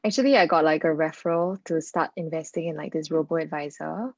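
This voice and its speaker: A woman's voice: sits low, a little fast, no echo, highs cut off. Chatty young woman, dry sound. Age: 20s. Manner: chatty, repeating herself. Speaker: recent graduate. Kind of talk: casual interview answer.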